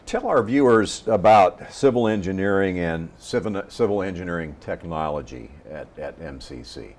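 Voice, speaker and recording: A man's voice: sits low, animated, normal speed, a little mellow. A man hosting a television interview program, with a polished announcer's delivery as he introduces and questions a guest. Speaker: host.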